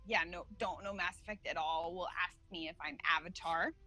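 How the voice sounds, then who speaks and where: Bright-voiced woman chatting loosely. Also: fairly low pitch, fairly even intonation, brisk. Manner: rambling, with many fillers and restarts. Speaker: guest, cosplay face model. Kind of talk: casual podcast chat.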